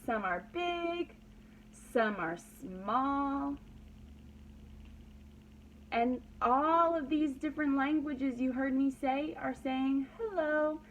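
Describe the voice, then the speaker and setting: Slow-talking woman teacher reading to little kids. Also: sits high, slight background noise, poor audio. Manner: instructional, simple phrases with repetition and prompting questions. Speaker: pre-kindergarten teacher. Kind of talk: read-aloud lesson for young children.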